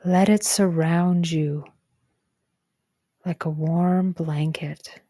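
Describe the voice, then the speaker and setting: A woman narrating a guided meditation in a gentle, calm and soothing way, with soft breathy phrase endings. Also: lowish voice, extremely slow, no room sound, studio quality. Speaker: psychologist guiding a meditation.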